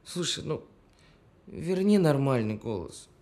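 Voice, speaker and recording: An actor playing a weary man who talks haltingly and conciliatorily to an AI assistant in a scripted audio film. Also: mid-range voice, very animated, a little mellow. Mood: exasperated.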